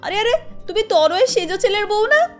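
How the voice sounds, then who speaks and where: Woman playing a high-pitched, gloating neighbour auntie. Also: expressive, brisk, soft background music. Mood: gloating, mocking. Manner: taunting, gloating. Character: mocking, gossipy middle-aged neighbour auntie. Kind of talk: cartoon story dialogue.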